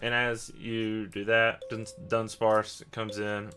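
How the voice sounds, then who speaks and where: Guy game master narrating scenes, lowish voice, bright and clear, descriptive, kinda feeling out the words. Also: little pitch movement, takes it slowly, soft background music. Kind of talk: improvised tabletop game narration.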